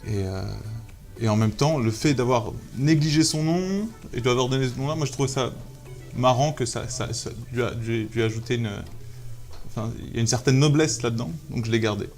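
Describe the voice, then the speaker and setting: A man in his thirties from Algeria, a photographer guest telling his story in a relaxed interview, hesitant with many fillers and restarts. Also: mid-range voice, brisk, faint music behind. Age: 30s. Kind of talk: casual interview talk.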